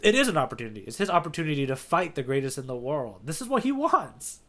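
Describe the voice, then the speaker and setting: A man with a fairly high voice and lively, swooping intonation. He chats casually as a podcast co-host, enthusiastic and slangy as he digs into manga. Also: fast. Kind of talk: casual manga readalong discussion.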